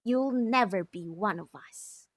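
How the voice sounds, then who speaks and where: A woman's voice: mid-range voice, no room sound, lightly acted. A woman voicing a haughty, cruel mermaid with a sneering, condescending manner for a visual novel dub. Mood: haughty mockery. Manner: sneering, condescending, drawn-out taunting. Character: haughty, cruel mocking mermaid who prides herself on beauty.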